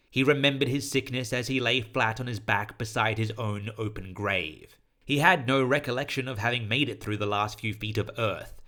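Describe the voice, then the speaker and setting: A man narrating a scripted horror story in a solemn, deliberate, literary manner. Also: medium pitch, sharp tone.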